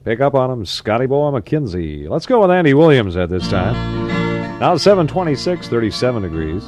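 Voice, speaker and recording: A man's voice: medium pitch, big pitch swings, normal speed, over music. An American man working as a 1960s AM radio DJ, reading announcements in a conversational announcer style with short asides. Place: radio studio.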